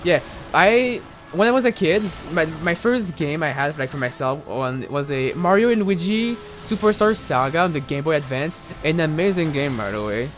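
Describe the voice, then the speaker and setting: A man's voice: fairly high pitch, animated, moderate pace, few pauses, a bit bright, slight background noise. A young man with a French accent, a native French speaker, chatting and rambling casually while streaming a game, with many fillers and restarts. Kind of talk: casual live gaming commentary.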